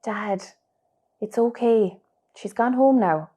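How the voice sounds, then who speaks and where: A patient Irish daughter, grown and worn out , played by a woman, in a radio drama. Her voice sits a little high, and she speaks gently and politely. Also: slow, breathy edge. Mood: caring, weary. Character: weary, patient grown-up daughter, married mother. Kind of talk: radio play acting.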